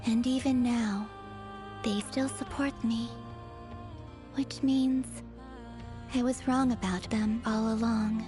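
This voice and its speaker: Gentle, high-voiced game heroine. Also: female voice, steady tone. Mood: reflective. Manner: gentle, measured, reflective. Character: gentle, composed young woman, thoughtful and empathetic. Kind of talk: video game voice acting. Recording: game music behind the voice.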